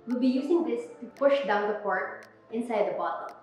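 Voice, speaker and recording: A young woman giving clear step-by-step instructions on wine bottles, in an instructional demo recorded indoors. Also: a little high, animated, moderate pace, a little mellow, faint music behind. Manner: clear, plain step-by-step explaining. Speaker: wine presenter. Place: indoor room with a table. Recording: very echoey room.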